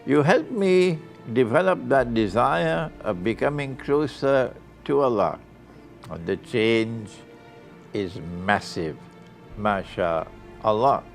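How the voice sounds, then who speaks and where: A male Islamic scholar giving a lecture, reading a student's letter aloud in an unhurried, deliberate way with occasional soft laughs. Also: medium pitch, lively intonation, vocal fry, faint music behind.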